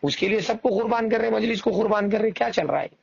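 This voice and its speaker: High-pitched indignant man on a phone. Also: lively intonation, very fast, vocal fry, slightly rough. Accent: Marathwada Dakhni Hindi-Urdu. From Parbhani, Maharashtra, India. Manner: indignant, insistent, argumentative, repeating himself. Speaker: election candidate and party worker. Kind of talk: informal phone conversation. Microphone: phone call. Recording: muffled recorded phone call.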